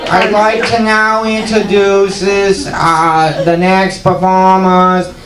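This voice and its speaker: A man acting as emcee, introducing the next act with teasing deadpan jokes. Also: sits high, slow, crowd noise. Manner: dry, teasing deadpan delivery. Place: stage in an echoey hall. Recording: echoey hall with loud noise.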